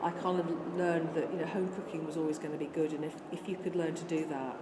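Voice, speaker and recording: An older woman from Scotland, now with no trace of an accent, chats earnestly with a slightly low voice and lists things off with plenty of restarts. The room rings with echo, and steady noise sits under her. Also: quick pace. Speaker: interviewee, vegan charity worker. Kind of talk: casual interview answers.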